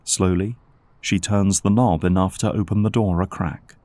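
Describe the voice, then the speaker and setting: Casting: male narrator for audio drama, low-pitched voice, scripted storytelling delivery. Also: steady tone, normal speed, warm tone.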